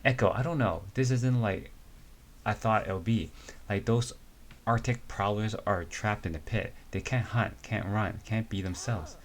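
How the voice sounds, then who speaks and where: A man's voice: fairly low pitch, a little slow, close to an everyday voice. A man reading as a young wilderness woman. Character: young woman survivalist from the wilds. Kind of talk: amateur dramatic reading of a comic.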